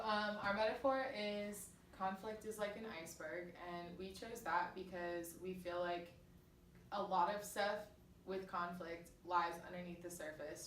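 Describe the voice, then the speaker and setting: A woman's voice: on the low side, a bit bright. A young woman giving an informal class presentation as a college student in a casual, conversational way. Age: young adult. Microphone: distant microphone. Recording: distant, echoey room, faint noise.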